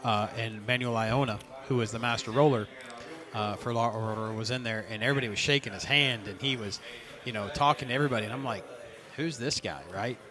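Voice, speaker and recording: Regular-sounding guy hosting a cigar podcast, laid back, mid pitch. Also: fast, a crowd in the background. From North Carolina. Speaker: podcast host. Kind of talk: casual conversation.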